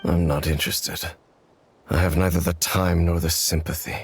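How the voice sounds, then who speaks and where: A low, cold voice of a centuries-old knight in a dramatic video game. He is formal and measured, stern, guarded and bitterly accusing. Faint music sits underneath. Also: flat delivery. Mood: guarded, dismissive.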